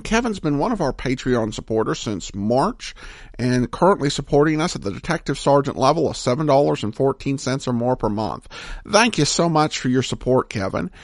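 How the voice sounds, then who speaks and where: A man's voice: on the high side, no echo, clean studio sound. A man hosting a podcast, giving casual, explanatory commentary in a somewhat hesitant way. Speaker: podcast host.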